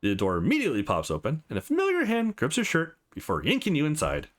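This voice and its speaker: A man's voice: on the high side, wildly swooping pitch, quick pace, soft background music. A man hosting a let's play, narrating visual novel text in a dramatized way.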